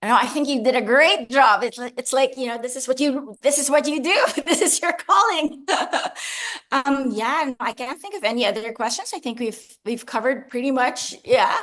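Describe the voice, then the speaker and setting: A woman's voice: high voice, lively intonation, normal speed, fairly bright tone. A woman from the Philippines, an author answering interview questions in a chatty, laughing, self-deprecating way over a video call. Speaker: author guest.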